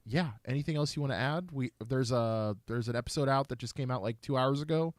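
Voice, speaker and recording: A man's voice: medium pitch, a little fast, no echo. Laughing man in his thirties, casual podcast. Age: 30s. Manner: laughs often, loose and playful. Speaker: podcast co-host. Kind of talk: casual chat.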